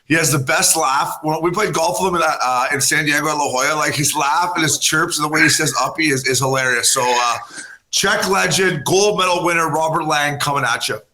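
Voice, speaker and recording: A man's voice: a little high, fairly quick, background noise. A man co-hosting a podcast, a former hockey player, rambling and joking in loose banter. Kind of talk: casual podcast chat.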